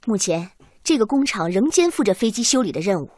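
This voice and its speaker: A woman's voice: medium pitch, big pitch swings, fairly quick, a little mellow, slightly rough, no room sound, studio quality. An actress playing a keen, respectful young woman engineer in a Chinese radio drama, articulate and eager as she explains. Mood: respectful, explaining.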